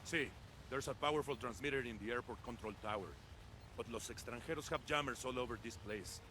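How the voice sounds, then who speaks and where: Actor as rebel, noisy surroundings. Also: male voice, mid-range voice, fairly quick, pushed and bright, close to an everyday voice. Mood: matter-of-fact. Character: wary, practical male Bolivian rebel contact. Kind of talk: video game cutscene acting.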